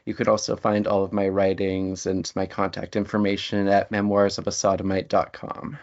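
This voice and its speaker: A man with a low voice and plenty of vocal fry talks casually as an interview guest. He shares things in a conversational way, and the recording is slightly dull and lo-fi. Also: monotone, moderate pace. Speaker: interview guest, writer and lecturer.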